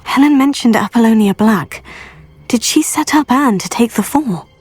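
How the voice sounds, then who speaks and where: A woman's voice: a little high, animated, normal speed, a little mellow, husky. A woman voicing a polite, inquisitive teenage witch in a video game, earnest in her delivery. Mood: curious. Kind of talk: video game character dialogue.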